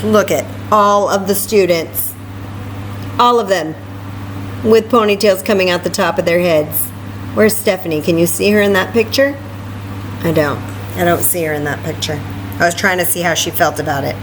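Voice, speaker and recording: A woman reading a story aloud to children in an expressive way, with chatty asides and questions. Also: fairly low pitch, normal speed, noisy background. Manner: expressive, asks listeners questions. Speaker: library story time reader.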